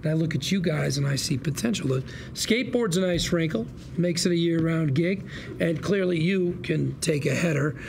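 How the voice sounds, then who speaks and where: An actor playing a slick, fast-talking con-artist lawyer at a drama table read, full of persuasive, slangy patter. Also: male voice, a little high, vocal fry, darkish tone, slight background noise. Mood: sly persuading. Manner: glib, persuasive patter with colourful slangy quips.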